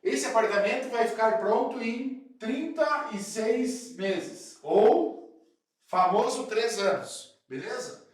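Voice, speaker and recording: Man with a cold, high voice, very echoey room, chatty teaching, from southern brazil. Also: slow. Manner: chatty, checks in with "beleza?" and "tá?". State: has a cold. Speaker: real estate broker coach. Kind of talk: explanatory talk to camera.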